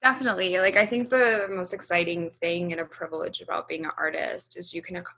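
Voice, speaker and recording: Husky, gravelly woman with a bright tone. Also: on the low side, fast talker. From grew up in Hong Kong. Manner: relaxed, thinks aloud with many ums and likes. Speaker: musician being interviewed. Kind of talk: casual interview answers.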